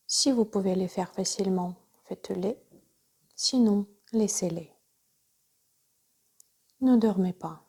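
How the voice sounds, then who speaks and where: Female yoga nidra guide: soft, breathy near-whisper, dark warm tone, unhurried measured delivery of calm instructions. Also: mid-range voice. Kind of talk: guided relaxation meditation.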